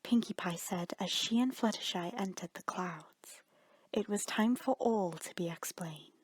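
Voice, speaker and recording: A woman reading a scripted story as narrator, measured and dramatic, with deliberate pauses. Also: on the low side, slightly rough, dry recording.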